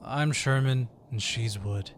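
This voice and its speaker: A man playing a composed undercover agent posing as a job applicant in an audio roleplay, polite and measured. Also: mid-range voice, slight background noise, natural voice.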